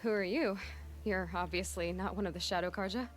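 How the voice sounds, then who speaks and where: A woman's voice: medium pitch, a little fast, soft background music, lightly acted. An actress playing a young female hunter in a game, direct and terse. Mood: determined. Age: young adult. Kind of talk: video game cutscene acting.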